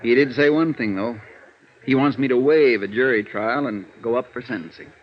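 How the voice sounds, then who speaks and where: Troubled, conscientious attorney played by a man, downcast and hesitant, medium-pitched, on a muffled vintage radio recording. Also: normal speed, lightly acted. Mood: frustrated, earnest. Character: weary, conscientious male defense lawyer, troubled by his client. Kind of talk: 1950s radio drama dialogue. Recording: dull, faintly noisy old radio recording.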